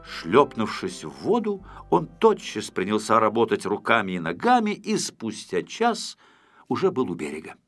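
A man narrating a children's story from a script in an expressive, theatrical storytelling manner with dramatic emphasis. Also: fairly low pitch, normal speed, no echo, soft background music. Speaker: audiobook narrator.

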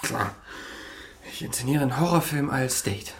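An actor playing an anxious, confused young man who talks haltingly in a naturalistic German audio drama. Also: medium pitch, expressive, heavy background noise, natural voice. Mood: wry, awkward. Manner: halting, hesitant.

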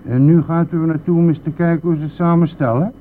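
An actor playing a cheeky, nosy, grumbling village bus driver in a radio play, with a teasing, blustering manner. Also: male voice, on the high side, fairly even intonation, quick pace, lightly acted. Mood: prying. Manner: teasing, blustering, chuckling at own jokes. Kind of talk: Dutch radio play acting. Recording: tinny narrow-band old radio recording, vehicle noise.

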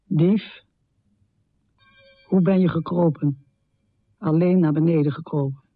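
An actress playing a troubled, confessional middle-aged woman poet in a radio drama, speaking intimately with a low, husky voice. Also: fairly even intonation, slow, no echo, phone-quality sound. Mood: brooding, grave. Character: troubled, confessional American woman poet, heavy drinker, death-obsessed. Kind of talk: radio drama monologue and poetry recital.

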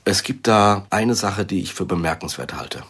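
An actor playing a guarded, businesslike intelligence man in a radio crime drama, with a husky voice and deliberate phrasing. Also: sits low, expressive, fairly bright tone, heavily acted. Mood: measured, guarded.

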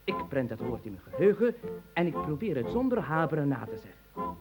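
A man's voice: fairly high pitch, sing-song, a little fast, over music, poor audio. A man playing a pompous, long-winded and bossy industrialist in an absurdist comic radio play. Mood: coaxing, insistent. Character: eccentric, pompous male industrialist, fussy and bossy.

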